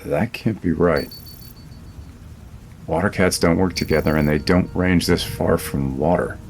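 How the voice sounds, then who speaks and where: A gruff male expedition leader in a sci-fi audio drama. His voice is very low and he speaks in terse, authoritative orders with music playing clearly behind him. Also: measured pace, darkish tone. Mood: wary, terse. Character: gruff commanding male leader of hunting party.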